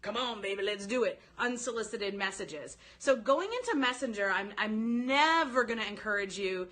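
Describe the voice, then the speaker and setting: Bright-voiced woman coaching live online. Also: medium pitch, normal speed. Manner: animated, informal, addresses listeners directly, quotes sample phrases. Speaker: sales coach hosting a live online training. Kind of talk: informal live-stream coaching, chatting with commenters.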